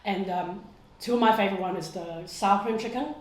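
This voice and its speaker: A woman's voice: mid-range voice, brisk, roomy sound, a little hiss or hum. A woman in her thirties from Hong Kong, a podcast guest chatting bluntly at home, swearing freely and laughing often. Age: 30s. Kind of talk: casual chat.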